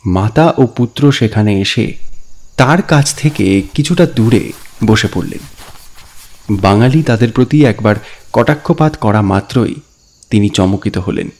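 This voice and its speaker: A man narrating a scripted story dramatically, in a deliberate, expressive storytelling manner. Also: fairly low pitch. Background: background music.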